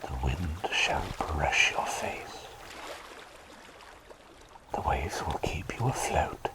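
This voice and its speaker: A man playing a gentle, doting plague doctor in an ASMR roleplay, speaking in soothing, slightly formal, breathy whispers. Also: extremely low pitch, lively intonation, extremely slow, heavily acted. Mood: soothing, lulling. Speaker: ASMR performer.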